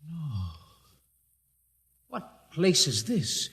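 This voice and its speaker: An actor playing an indignant, fearful young scientist and orthodox believer, stiff and protesting in vintage radio drama style. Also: male voice, on the high side, big pitch swings, extremely slow, vocal fry, bright, forceful tone, breathy edge, heavily acted. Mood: frightened. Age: young adult.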